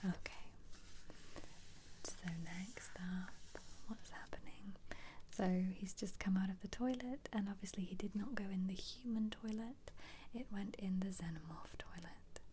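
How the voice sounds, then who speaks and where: Softly whispering ASMR woman, mellow and breathy. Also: medium pitch, measured pace, a little hiss or hum. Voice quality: soft, breathy, mostly whispered. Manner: gentle, chatty, musing aloud. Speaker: ASMR video creator. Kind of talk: ASMR, soft-spoken casual book flip-through commentary.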